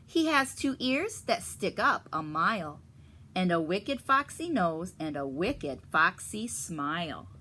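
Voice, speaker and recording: A woman reads a picture book to children with a slow, deliberate pace and very lively intonation. She sounds teacherly, stopping to explain and ask questions. Her voice is bright. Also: lowish voice. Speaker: story reader for children. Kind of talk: expressive picture-book read-aloud with explanations.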